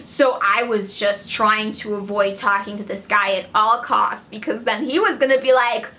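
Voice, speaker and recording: A woman from Connecticut telling a story to camera in a chatty, lively way. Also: medium pitch, normal speed. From Connecticut, USA. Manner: chatty, lively, acts out quoted voices. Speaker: vlogger.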